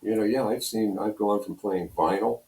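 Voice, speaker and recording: A man's voice: lowish voice, moderate pace, a little mellow. An older American man reminiscing in a casual, rambling way, telling anecdotes as an interview guest. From United States, Pennsylvania. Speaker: radio program director and on-air personality, interview guest. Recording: roomy, echoing room sound.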